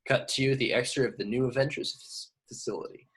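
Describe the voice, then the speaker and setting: A man's voice: medium pitch, fairly even intonation, fairly quick, breathy edge. A man narrating scripted action directions in an amateur table read of a film. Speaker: narrator reading stage directions.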